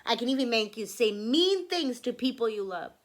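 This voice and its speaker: A woman's voice: fairly high pitch, animated, normal speed, natural voice. A woman voicing a hairy red creature that is a boy's anger, coaxing and insistent, in a storybook reading.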